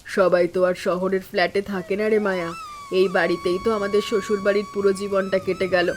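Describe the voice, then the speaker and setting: Calm, even-voiced elder daughter-in-law, acted by a woman. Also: medium pitch, quick pace, a little hiss or hum, lightly acted. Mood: practical. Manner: calm, resigned, reassuring. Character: patient, hardworking elder daughter-in-law of a village house. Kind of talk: animated family story acting.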